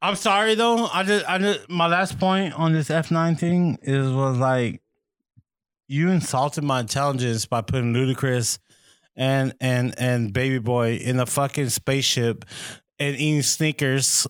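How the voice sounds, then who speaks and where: A man's voice: mid-range voice, fairly even intonation, a little slow, clear, resonant tone. A married man in casual chat, ranting profanely and stammering when worked up. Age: adult, married.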